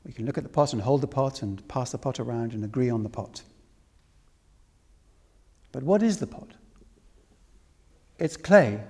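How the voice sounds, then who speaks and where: A man from Wales teaches spiritual philosophy to a room in a creaky, soft-edged voice. He builds his points deliberately, repeating phrases and posing questions. Long hall reverb surrounds his words. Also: mid-range voice. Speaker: spiritual teacher leading a talk. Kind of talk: spiritual discourse to a live audience.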